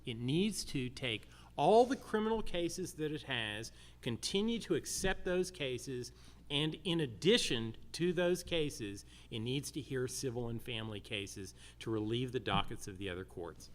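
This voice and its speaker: An American man with a mid-pitched voice answers as a candidate for judge at a public forum. He speaks deliberately and drives points home by repeating himself emphatically. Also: lively intonation. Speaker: judicial candidate.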